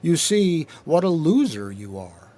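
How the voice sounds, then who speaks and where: A man reading his own poetry aloud in a deliberate, rhythmic, dramatic spoken-word style, recorded in a studio. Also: fairly high pitch, very animated, dark tone. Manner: deliberate, measured, rhythmic delivery with pauses. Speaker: poet reading own work.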